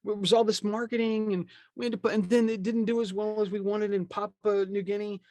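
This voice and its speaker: A man's voice: high voice, level tone, a little fast, darkish tone, no room sound. A man talking casually and with strong opinions as a podcast guest, slipping into imagined quotes in slangy language. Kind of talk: animated casual conversation.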